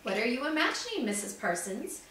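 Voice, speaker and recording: A woman's voice: on the high side, sing-song, a little fast, a bit bright. A woman voicing a good-natured young male student assistant in a storybook, friendly and easygoing. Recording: echoey room, distant mic, background noise.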